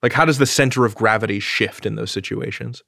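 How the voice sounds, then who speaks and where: A man's voice: fairly low pitch, brisk, gravelly, sharp tone, no room sound, clean studio sound. A man hosting a podcast, asking his guests curious questions with small restarts. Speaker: podcast host. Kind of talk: interviewing.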